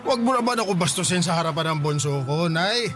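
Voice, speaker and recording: A man's voice: high-pitched, animated, brisk. A bitter, defensive widowed father. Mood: grumbling. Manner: defensive, sulky. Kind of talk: radio drama acting. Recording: music bed underneath.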